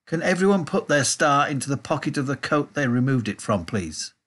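A man's voice: medium pitch, very animated, fairly quick, a bit bright, voice pushed up. Man doing a firm teenage girl, composed, proud, storybook reading. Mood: polite.

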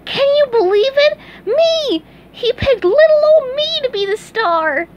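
A woman reading aloud and voicing an eager, cheerful young male sea sponge, squealing and excitable. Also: a little slow, lo-fi sound. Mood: excited. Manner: squealing, excitable, high-pitched.

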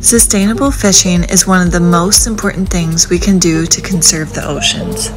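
A woman reading a calm, informative voice-over for a family travel vlog. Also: fairly low pitch, normal speed. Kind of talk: scripted voice-over narration. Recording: voice-over with loud music behind.

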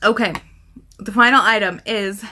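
A woman's voice: mid-range voice, normal speed, sharp tone. A woman in her thirties chatting casually and effusively as a book vlogger, her throat a little scratchy from talking. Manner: enthusiastic, effusive. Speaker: book reviewer, video host. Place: small indoor room at home with bookshelf.

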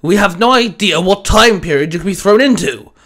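Man as a stern commander, barking, emphatic, worried, raised pitch, sci-fi audio drama. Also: animated, fairly quick, a bit bright. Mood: barking orders.

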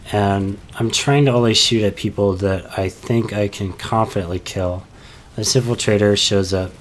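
A young man talks calmly over gameplay footage, walking through his tactics with plenty of "uh" fillers. His voice is low and fairly flat, and it sounds a little stuffy because he is getting over a cold. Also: slight background noise. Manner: calm, explanatory, many "uh" fillers. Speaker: gameplay commentator. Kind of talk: voice-over commentary on game footage.

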